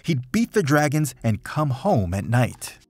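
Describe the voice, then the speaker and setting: Husky, animated man reading a storybook. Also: mid-range voice, measured pace, no room sound. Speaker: storybook reader. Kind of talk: children's picture book read-aloud, rhyming verse.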